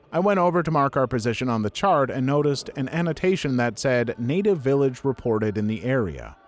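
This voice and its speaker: A man reading online stories aloud as a narrator, at a steady, even pace. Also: mid-range voice. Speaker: story narrator.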